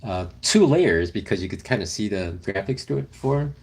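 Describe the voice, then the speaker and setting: Brief: young male streamer, low-ish voice, relaxed rambling chat with fillers. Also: moderate pace, husky. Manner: rambling, easygoing, many fillers. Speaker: livestream host. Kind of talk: casual livestream chat reading comments. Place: car camping, indoors with partner.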